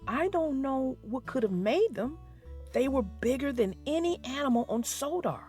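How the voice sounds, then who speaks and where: A woman's voice: high-pitched, animated, moderate pace, warm tone, soft background music. A woman voicing a sensible, friendly male engine in a storybook. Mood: matter-of-fact.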